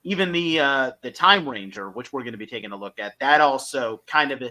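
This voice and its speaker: A man's voice: medium pitch, expressive, a little fast, sharp tone. A man co-hosting a podcast, giving an enthusiastic, opinionated fan review in a casual discussion.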